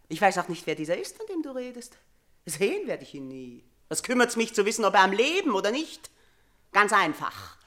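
A woman's voice: medium pitch, a bit bright. A woman playing a middle-aged queen and mother, haunted and domineering, in a theatrical classical German radio drama, shifting between murmurs and outcries. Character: haunted, imperious queen and mother, uneasy with guilt.

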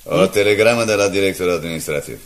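An actor playing an anxious newspaper manager under pressure in a radio drama, speaking earnestly and with agitation. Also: male voice, deep voice, lightly acted. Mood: worried, agitated. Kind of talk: 1980s Romanian radio drama acting.

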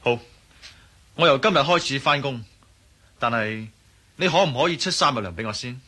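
An actor playing a cocky French viscount and desert guide in a Cantonese radio drama, speaking Hong Kong Cantonese with a blunt, self-assured manner. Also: male voice, medium pitch, lively intonation, normal speed, sharp tone.

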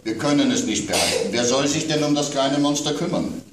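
A man voicing a stern but patient father, firm and brisk as he gives orders. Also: mid-range voice, lively intonation, voice pushed up, natural voice. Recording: roomy, distant, faint room noise.

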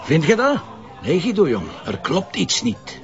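An actor playing a jovial, teasing, stubborn Flemish police commissioner in colloquial, emphatic radio drama dialogue. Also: male voice, on the high side, wildly swooping pitch, a little slow, heavily acted. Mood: pondering, serious. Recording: dull lo-fi sound with music behind.

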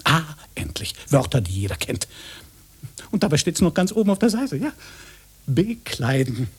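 A man's voice: fairly high pitch, big pitch swings, normal speed, slightly rough. An actor playing a vain, pushy fake policeman in a German radio play, pompous, lecherous and pedantic. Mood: pedantic, amused.

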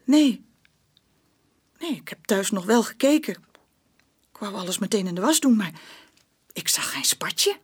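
An actress playing a bitter, stubborn working-class mother giving a confession in a Dutch radio play, speaking colloquially and haltingly. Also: mid-range voice, lively intonation, husky. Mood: agitated.

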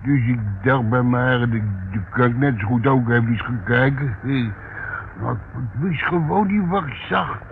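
An actor playing a grumpy old male tramp in a radio play, halting and repeating himself as he grumbles. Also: medium pitch, creaky. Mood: rambling. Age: older. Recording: muffled narrowband old radio recording, noisy.

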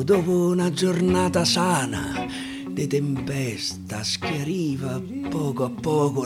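Un uomo con accento romano che racconta versi in dialetto in modo teatrale, passando dal sommesso al declamato. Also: male voice, fairly high pitch. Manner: dramatic, swinging between hushed and declaimed. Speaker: actor, storyteller. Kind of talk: theatrical dialect verse storytelling. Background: music.

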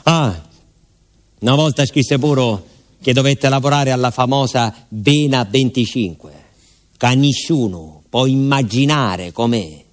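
A male stage storyteller with a southern Italian accent delivers a radio theatre monologue. His mid-pitched voice carries a colloquial, vivid tale with theatrical weight. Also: unhurried.

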